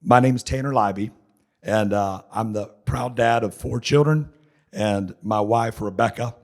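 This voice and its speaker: A middle-aged man from North Carolina, giving a joking, chatty seminar talk with a raspy voice hoarse from laryngitis. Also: on the low side, a little slow, darkish tone. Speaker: seminar speaker. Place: conference hall. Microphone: handheld mic.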